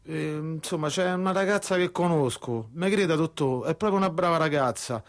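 An actor playing a resentful, streetwise young musician with a Roman accent in a radio drama, talking colloquially. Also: male voice, a little high, normal speed, roomy sound, in a large hall, natural voice. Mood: polite, hesitant. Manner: colloquial, plain-spoken. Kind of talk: Italian radio drama acting.

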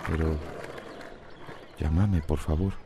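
A man's voice: a bass voice, steady tone, slow, dark tone, heavily acted. A Costa Rican man playing a remorseful father pleading hesitantly with his estranged son in a radio soap opera.

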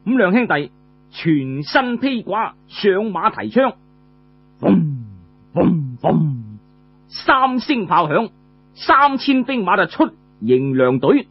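A man's voice: high-pitched, very animated, slow, breathy edge, lo-fi sound, faint music behind. A man with a Cantonese accent telling a traditional tale, conversational and dramatic, drawing out his particles. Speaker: storyteller. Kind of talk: animated traditional storytelling narration.

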